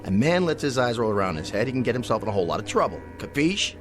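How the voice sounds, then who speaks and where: A man's voice: mid-range voice, sing-song, fast, music in the background, close to an everyday voice. A man playing a pompous vain cowboy star. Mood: whiny. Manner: pompous, demanding, whiny. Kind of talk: radio drama acting.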